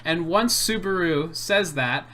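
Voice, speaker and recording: A man's voice: on the high side, slow pace, bright, forceful tone. A young man hosting a casual, joking anime debate, rambling and restarting as he talks. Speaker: channel host.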